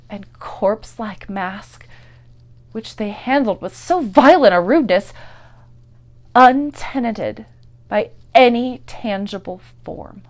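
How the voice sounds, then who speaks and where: A woman giving a dramatic, theatrical narration of a gothic horror story, moving between hushed and intense delivery. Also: fairly high pitch. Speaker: actor and performer reading a story.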